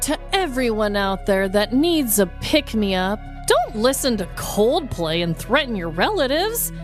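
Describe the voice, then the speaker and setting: A woman's voice: a little high, unhurried, music in the background. An American woman co-hosting a podcast, telling stories in a casual, comedic, emphatic and informal way. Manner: animated, informal storytelling with emphatic exaggeration. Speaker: podcast co-host. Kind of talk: casual comedic true-crime chat.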